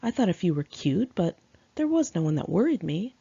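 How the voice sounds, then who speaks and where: A woman reading her own memoir aloud in a candid, expressive, conversational storytelling style. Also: fairly low pitch, fairly quick, vocal fry, slightly rough, lo-fi sound. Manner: candid, expressive, acts out dialogue.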